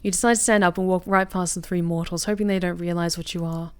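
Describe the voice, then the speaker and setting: A young woman streamer reading a visual novel aloud, with expressive bursts of excited commentary. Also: fairly low pitch, fast, smooth. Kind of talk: game narration with live reactions.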